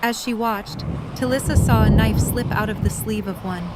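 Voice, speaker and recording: A woman's voice: mid-range voice, moderate pace, heavy background noise. A woman reading scripted third-person story narration for an audio drama.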